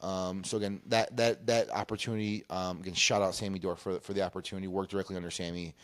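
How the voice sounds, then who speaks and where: A man from Peru speaking as an interview guest, casually recounting his career in a halting way, often circling back to rephrase. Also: deep voice, flat delivery, no room sound. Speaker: interview guest, cannabis industry consultant.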